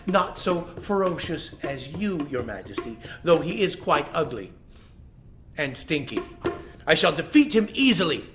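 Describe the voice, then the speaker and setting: A storyteller voicing a small, clever male rabbit who speaks meekly and flatters the king. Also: mid-range voice, a little slow, over music. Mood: flattering. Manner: meek, flattering.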